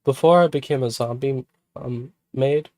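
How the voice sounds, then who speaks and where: A woman streamer with a sick, hoarse voice, chatting casually and rambling with many fillers and restarts. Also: rumbling low, normal speed, dark tone, no room sound. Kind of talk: casual livestream chat.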